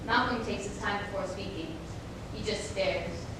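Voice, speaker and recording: A young woman giving dramatic scripted narration on stage with deliberate delivery. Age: young adult. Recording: echoey room, distant mic, noisy rumble.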